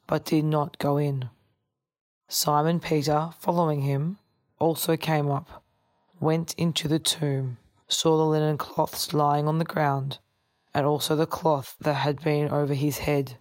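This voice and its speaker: A woman's voice: sits low, level tone, slow pace, darkish tone, dry recording. A woman reading a Gospel passage aloud in a formal scripture-reading style. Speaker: scripture reader.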